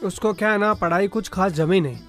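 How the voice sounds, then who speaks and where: A man's voice: high voice, fairly quick, fairly bright tone, soft background music. A man playing a grateful, modest middle-aged merchant in a moral-story cartoon. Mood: resigned.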